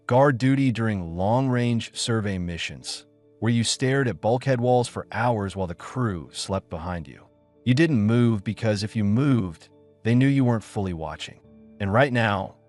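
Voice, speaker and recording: A low-voiced man reads a scripted story in audiobook style. His pitch moves in wide, lively swings, and soft music plays behind him. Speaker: audiobook narrator.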